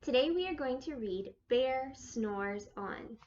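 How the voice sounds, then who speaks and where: A woman teacher reading a children's storybook aloud, slowly and expressively, for young children. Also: high voice, expressive, darkish tone, dull audio, a little hiss or hum. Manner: slow, dramatic delivery for young children.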